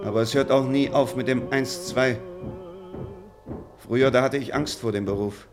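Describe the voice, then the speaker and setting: An actor in a German radio play as a dutiful, haunted drill officer, shifting between barked commands and brooding, confiding talk. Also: male voice, mid-range voice, moderate pace, over music, close to an everyday voice. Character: barking drill officer, weary, haunted, dutiful, grieving father.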